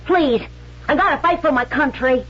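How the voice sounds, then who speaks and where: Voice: high-pitched, normal speed, fairly bright tone. A performer playing an earnest, pleading twelve-year-old orphan cabin boy in a radio drama, hesitant, eager and folksy. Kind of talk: 1970s radio drama acting. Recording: muffled vintage radio recording with hiss.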